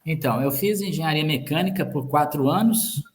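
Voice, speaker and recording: A man's voice: a little high, a little fast. A Brazilian man, a sales manager guest on a podcast, talking in a joking way full of metaphors and sayings. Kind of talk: conversational interview.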